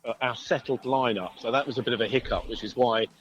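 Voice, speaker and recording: A man's voice: mid-range voice, quick pace, fairly bright tone, a little hiss or hum. A man, a musician being interviewed, talking casually in a hesitant, rambling way. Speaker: band musician, interview guest.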